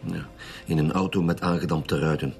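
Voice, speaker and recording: A Flemish homicide detective in a radio drama. His voice is very deep and he questions firmly. A little music lies behind him. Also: steady tone, moderate pace, vocal fry. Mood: probing. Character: firm, probing male homicide detective.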